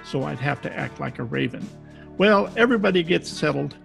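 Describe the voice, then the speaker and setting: An actor playing a wry, deadpan private detective who narrates in 1940s radio style with a gravelly voice. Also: male voice, on the high side, brisk, faint music behind, full character voice. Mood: wry narration. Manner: deadpan, wisecracking. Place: home, recorded over video call.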